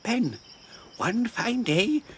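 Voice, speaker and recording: A man's voice: sits high, very animated, very slow, background noise, exaggerated voice. A man playing a ragged, half-crazed old marooned sailor in an audio drama, rambling and wheedling in rustic pirate dialect. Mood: storytelling. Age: older.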